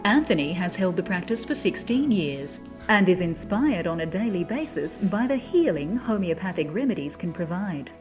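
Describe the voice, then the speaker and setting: A woman's voice: lowish voice, very animated, normal speed, hardly any pauses, faint music behind. A woman reading a scripted documentary narration.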